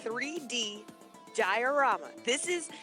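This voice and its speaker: A woman's voice: fairly high pitch, animated, slow, sharp tone. A woman in casual conversation as a co-host, playful and teasing in the way she talks. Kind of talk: casual chat. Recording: music playing behind the voice. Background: music.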